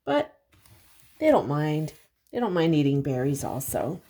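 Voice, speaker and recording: An older woman reading a picture book aloud to young children, pausing to explain things and ask simple questions. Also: low-pitched. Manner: expressive, simple explanations and questions for little listeners.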